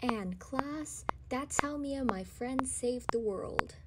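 Girl as high-voiced young narrator, noisy recording. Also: slow pace. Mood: storytelling. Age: young teenager. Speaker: narrator of a student audio drama. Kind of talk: scripted storytelling narration. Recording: noisy amateur student recording.

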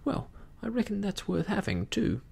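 A man voicing a rough, excitable pirate mutineer in a storybook, with husky, pitched-up ungrammatical sailor talk. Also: moderate pace, full character voice. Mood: gleeful.

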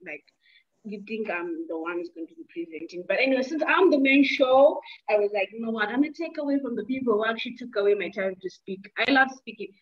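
A woman's voice: on the high side, fast. A woman in her twenties hosting an online meeting from a small room through earbuds, chatty and playful. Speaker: meeting host. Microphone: earbuds on a video call. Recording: dull lo-fi call audio.

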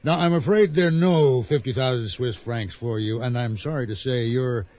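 A man's voice: medium pitch, darkish tone, natural voice. An actor playing a smug, cruel villain in a radio drama, mockingly polite and condescending as he drawls his lines. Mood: smug menace. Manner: slow, drawling, chuckling condescension.

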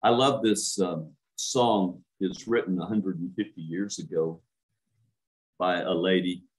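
A man's voice: low-pitched, steady tone, unhurried, rough recording. An older man, a minister, preaching a sermon to a home church over a webcam, earnest and emphatic, stretching out key words.

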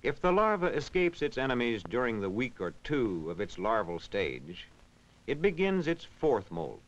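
A man reading scripted educational narration in a measured, instructional, clearly enunciated way. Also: medium pitch, sing-song. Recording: dull, band-limited vintage film soundtrack.

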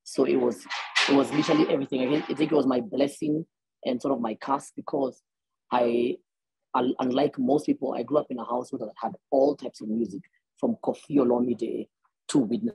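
A man's voice: medium pitch, a little fast, gravelly, a little mellow, husky, no echo, poor audio. A Kenyan man in his thirties, a musician, answering interview questions on a video call in a rambling, friendly way with many restarts. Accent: Kenyan English. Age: 30s. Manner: rambling, many restarts and fillers, laughs easily. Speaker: guest musician. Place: small room indoors. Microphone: earbuds on a video call.

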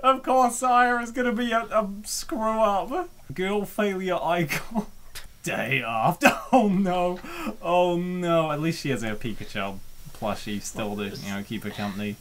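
A man hosting a comic dub, giving joking, theatrical and excitable commentary and reading comments aloud. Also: sits high, measured pace, a little hiss or hum.

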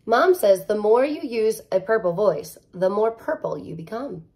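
A woman in her thirties reading a children's picture book aloud like a teacher, expressive, with chatty asides straight to young listeners. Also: medium pitch, moderate pace. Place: living room at home, indoors.

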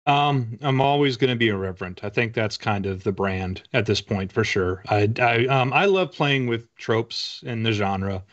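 Low-voiced American man, wry and casual. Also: moderate pace, hardly any pauses, fairly bright tone. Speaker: author guest. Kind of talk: casual interview talk. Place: small home room lined with bookshelves. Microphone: video call, at a desk. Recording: slightly muffled video call audio.

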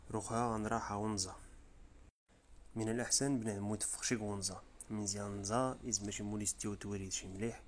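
A man's voice: on the low side, little pitch movement, a little hiss or hum. A man with a Riffian accent, from the Rif region of Morocco, giving an informal step-by-step tutorial as a voice-over. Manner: brisk, informal, step-by-step explaining. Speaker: tutorial presenter. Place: voice-over, not the pictured scenes.

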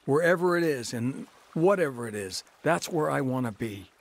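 A man's voice: medium pitch, animated, moderate pace, gravelly. A man narrating his own story of faith in a dramatized radio testimony, earnest and preacherly.

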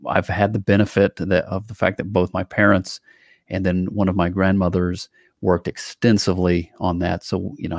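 A man's voice: low voice, creaky, darkish tone, no echo. A young adult man co-hosting an interview over a video call, easygoing and joking, with hesitant fillers into a studio microphone. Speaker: podcast co-host. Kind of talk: casual interview chat. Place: indoor home studio.

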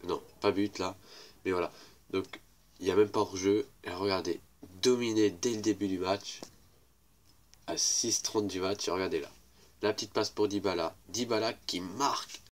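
A young man with a head cold talks over football clips in a deep, congested voice. He rambles casually, repeating himself as he points out each play. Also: fairly even intonation, normal speed. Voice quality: congested, little voice. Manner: rambling, repeats "regardez" and "voilà". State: has a cold, weak voice. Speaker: YouTuber commenting match highlights. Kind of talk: casual football highlight commentary.

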